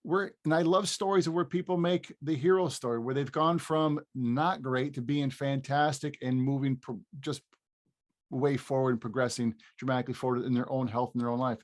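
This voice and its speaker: A man in his fifties hosting a podcast, chatting casually and often restarting his sentences. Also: mid-range voice. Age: 50s. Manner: rambling, restarts phrases, laughs easily. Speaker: podcast host. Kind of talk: casual interview chat.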